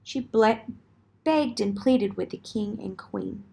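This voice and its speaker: Slow, creaky-voiced woman telling a fairytale. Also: medium pitch, warm tone. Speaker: storyteller. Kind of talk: children's fairytale narration, expressive storybook reading.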